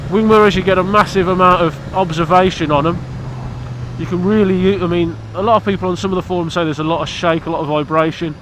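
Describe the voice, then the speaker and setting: A man's voice: high-pitched, fairly even intonation, fast, background noise. A British man, stuffy from hay fever, chatting casually to camera about his motorbike outdoors in a blunt, cheeky, rambling way. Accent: British English. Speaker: motorcycle vlogger.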